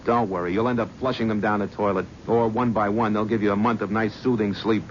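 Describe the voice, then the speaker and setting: An actor playing a cynical young talent-agency gofer in a 1970s radio drama, narrating and talking in a brusque, flippant, wisecracking way. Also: male voice, lowish voice, steady tone, fast, close to an everyday voice. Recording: muffled old radio broadcast, faint hiss.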